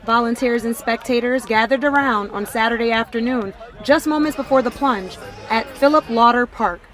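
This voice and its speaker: Woman student reporter, slightly high voice, reads her script, music under it. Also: a bit bright. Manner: scripted, measured delivery. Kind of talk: news report narration.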